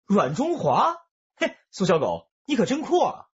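A man's voice: high-pitched, huge pitch swings, a little slow, husky, lo-fi sound, heavily acted. An actor playing a shrewd male police detective in a radio drama, with a wry, probing manner. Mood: teasing.

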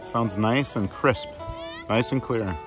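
A man's voice: medium pitch, slow pace, music in the background. A man giving a casual, playful hands-on product demo in a chatty, informal way. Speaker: product reviewer.

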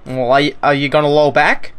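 A young man making a prank phone call in a deadpan, mock-polite way. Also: a little high, fairly quick, clear, resonant tone. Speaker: prank caller.

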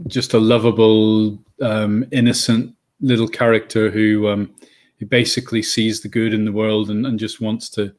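A middle-aged man with a Northern Irish accent, chatting as a guest on a video call from home, often pausing with ums and restarting his sentences. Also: lowish voice. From Northern Ireland. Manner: hesitant, many ums and restarts. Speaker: guest, children's book author. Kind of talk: casual interview chat. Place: home room.

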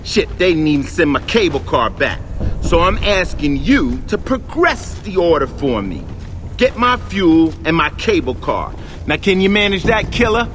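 An actor playing a cocky, menacing gang boss in a video game, smug and taunting in manner. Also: male voice, fairly high pitch, very animated, normal speed, a bit bright, a little hiss or hum. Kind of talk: video game cutscene acting.

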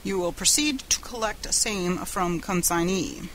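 A woman voicing an officious, businesslike male department head, speaking in a brisk, formal, bureaucratic way. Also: lowish voice, fairly bright tone, a little hiss or hum, rough recording. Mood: decisive, officious.

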